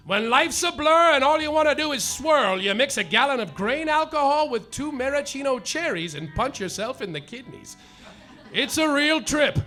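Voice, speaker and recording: A man's voice: very high-pitched, sharp tone, exaggerated voice. An actor playing a hapless, overwrought private detective narrating his misfortunes in a live comedy radio play. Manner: melodramatic noir narration, overwrought. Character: hapless hard-boiled male private detective. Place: theater stage, live.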